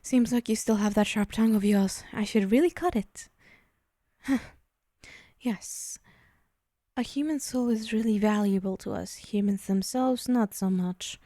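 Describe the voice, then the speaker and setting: Powerful female demon, played by a woman, ASMR: unhurried, dark-toned, teasing, possessive, condescending. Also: mid-range voice, no room sound, clean studio sound, close to an everyday voice. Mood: haughty, condescending. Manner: slow, teasing, condescending, murmuring into whispers. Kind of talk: ASMR roleplay.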